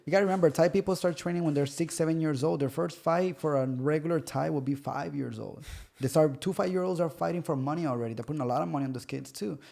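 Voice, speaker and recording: A man, a fighter guest on a podcast, telling stories in a loose, animated way and acting out the voices of the people he quotes. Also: a little high, quick pace, gravelly. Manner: loose, repetitive phrasing with many restarts. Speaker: pro MMA fighter guest. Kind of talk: casual podcast chat.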